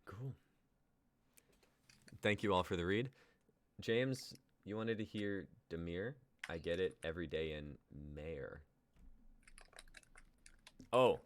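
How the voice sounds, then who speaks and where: A man's voice: low-pitched, takes it slowly, pauses often, slight background noise. A man in his twenties, a playwright chatting casually and reading out stage directions. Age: 20s.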